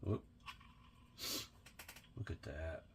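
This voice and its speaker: A hoarse, sniffly man walks through a workbench repair in an unhurried, chatty tutorial style. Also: dark tone, a little hiss or hum. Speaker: vintage reel restorer.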